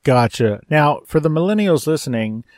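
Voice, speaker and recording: A man's voice: on the high side, animated, moderate pace, darkish tone, dry recording. A man speaking as a podcast host in a conversational, jokey manner, close on the microphone. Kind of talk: interview and scripted episode introduction.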